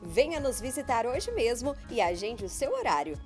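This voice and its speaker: A woman's voice: fairly high pitch, big pitch swings, normal speed. Upbeat woman reading an ad over music. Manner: upbeat, polished salesy read. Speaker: ad announcer. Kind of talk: scripted commercial voice-over.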